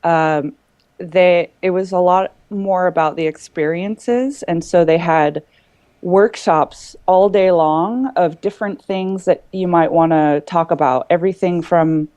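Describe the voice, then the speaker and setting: A woman's voice: lowish voice, measured pace, mellow, no room sound. A woman in a casual group conversation, explaining things earnestly as a panelist.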